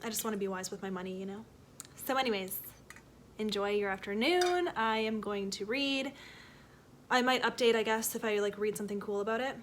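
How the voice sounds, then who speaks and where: A woman's voice: mid-range voice, fairly quick, a bit bright, slight background noise. A young Canadian woman with a Canadian accent, chatting casually at home in a rambling vlog style, full of fillers and self-corrections. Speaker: book vlogger. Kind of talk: casual reading vlog chat. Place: home, seated in a rocking chair.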